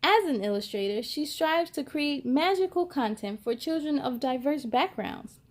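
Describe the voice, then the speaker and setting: A woman's voice: high-pitched, animated, moderate pace. A woman hosting a children's story time, reading aloud expressively and talking encouragingly straight to young listeners.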